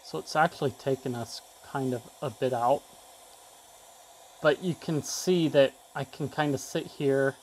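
A man's voice: medium pitch, measured pace, darkish tone, a little hiss or hum, poor audio. A man giving a casual, off-the-cuff tech demo, rambling a little and trailing off mid-thought. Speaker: tech video creator demonstrating a device.

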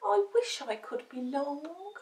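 Give this storybook a small, eager puppy girl as a woman reader puts her on. The voice is very high and leaps about excitedly, wistful and pleading by nature. It sounds a little roomy. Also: slow pace, recorded from a distance, exaggerated voice.

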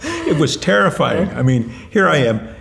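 An older man, a retired mathematics professor, answering interview questions in an animated way, often restarting and repeating words. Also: on the high side, normal speed, vocal fry, a crowd in the background. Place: lounge-like room with armchairs and lamps, indoors.

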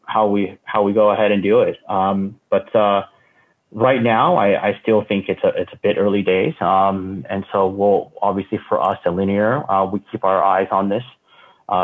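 A man in his thirties giving an unscripted keynote from home over a video call, speaking haltingly with many fillers and restarts. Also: low voice. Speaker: company founder giving a keynote. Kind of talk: casual unscripted talk. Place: room at home. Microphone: earbuds on a video call. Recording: tinny phone-like call audio.

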